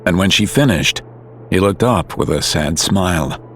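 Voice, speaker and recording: A man's voice: sits low, lively intonation, unhurried, fairly bright tone, slight background noise. A man reading scripted dramatic narration for an audio drama.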